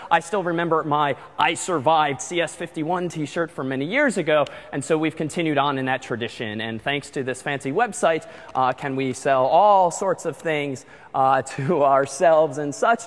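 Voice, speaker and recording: A man's voice: on the high side, moderate pace, few pauses. A man lecturing in a large hall, giving chatty announcements with frequent ums and uhs. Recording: long hall reverb.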